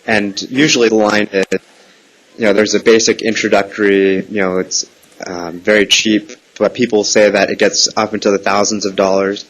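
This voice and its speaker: A man's voice: lowish voice, flat delivery, moderate pace, fairly bright tone, low-quality recording. A man calling on the phone with casual, meandering questions, hesitant in delivery but persistent. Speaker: prank caller. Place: phone call.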